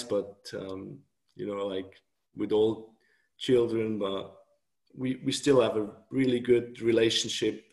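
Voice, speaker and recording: Creaky-voiced Swiss man in a roomy space. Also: lowish voice, darkish tone. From Switzerland. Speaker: interview guest, author. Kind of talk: casual conversational interview. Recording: roomy, echoing call audio.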